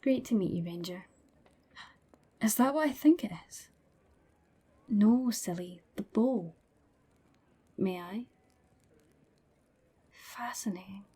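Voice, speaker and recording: Husky, blunt huntress goddess played by a woman. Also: medium pitch, warm tone. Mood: excited, admiring. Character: blunt, dry Norse huntress goddess, enthusiastic about weapons. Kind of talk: ASMR roleplay audio drama.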